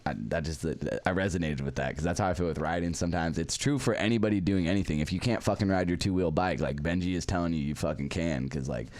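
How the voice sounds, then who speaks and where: A man's voice: sits low, quick pace, few pauses, darkish tone. Rambling casual male podcast host. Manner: rambling, swears, self-deprecating, laughs often. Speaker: interview host. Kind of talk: casual interview chat.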